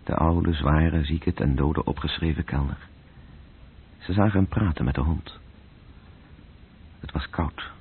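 A man narrating a literary radio drama in terse, measured phrases, with a gravelly, breathy voice. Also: rumbling low. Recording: muffled, tinny old radio-play recording.

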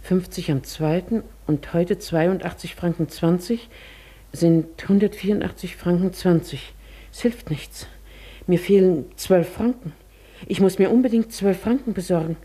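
A woman acting in a German radio play as a genteel, proud lady who is secretly desperate, polite and composed, with a husky voice. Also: lowish voice, unhurried, warm tone. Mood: quietly desperate. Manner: polite, composed, confiding when talking to herself.

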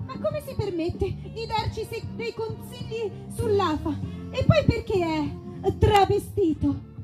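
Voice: extremely high pitch, slow, over loud music. A performer voicing a whiny, melodramatic young woman suffering in the heat in a comic skit. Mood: indignant. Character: two overheated, gullible hot young women in a skit. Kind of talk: exaggerated comic skit acting.

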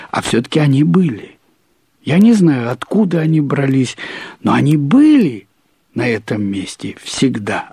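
A man reads a literary story aloud in the first person, expressively, with deliberate phrasing, drawn-out words and pauses. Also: medium pitch, darkish tone, slightly rough, no echo. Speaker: narrator reading a short story aloud.

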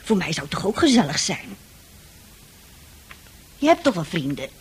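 A woman playing a middle-aged, nagging mother in a radio play, with a husky voice and a hesitant, reproachful manner. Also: lowish voice, very animated, moderate pace, exaggerated voice. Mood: pleading, weary. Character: worried, weary, nagging mother of a teenage son.

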